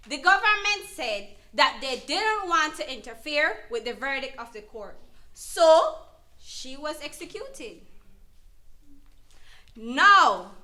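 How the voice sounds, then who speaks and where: A woman's voice: high voice, sharp tone, full character voice. A woman playing an earnest, emphatic advocate who counsels an abused mother in an educational dramatization. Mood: proud, explanatory. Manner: emphatic, instructive. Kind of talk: educational dramatization, deliberate explanatory delivery.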